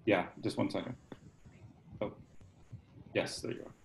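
A man's voice: on the low side, steady tone, a little slow, creaky, roomy sound, slight background noise, rough recording. A man around forty, informally hosting a poetry reading over a video call from his kitchen. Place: home kitchen. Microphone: computer microphone on a video call.

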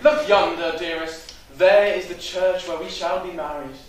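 A man's voice: high-pitched, steady tone, moderate pace, lightly acted. Guy playing an oily melodrama villain, declaiming on stage, echoey hall, mic way back in the crowd. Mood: wooing. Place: small theatre stage. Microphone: far camera in audience. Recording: echoey hall, far-off mic, audience noise.

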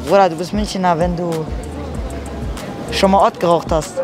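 A man's voice: high-pitched, fairly even intonation, normal speed, over music. A young man answering a street interviewer in a city square, slangy and hesitant with many fillers. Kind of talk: casual street chat.

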